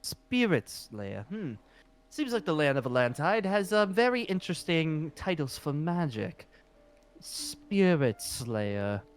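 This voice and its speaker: A man's voice: on the high side, very animated, takes it slowly, clear, resonant tone, faint music behind. A man playing a polite, flustered, centuries-old dragon slayer guild leader in an improvised fantasy roleplay, hesitant and apologetic. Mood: curious.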